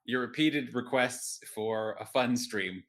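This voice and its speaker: A man's voice: mid-range voice, slow, a bit bright. A man chatting casually as a player in a tabletop game, with a wry, joking manner. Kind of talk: casual chat.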